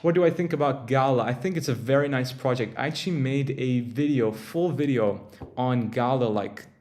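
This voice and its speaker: A man's voice: medium pitch, normal speed, a little mellow. A young man from Turkey, tired after a long day, hosting a casual livestream in a rambling, chatty way that often interrupts itself. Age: young adult. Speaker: crypto livestream host.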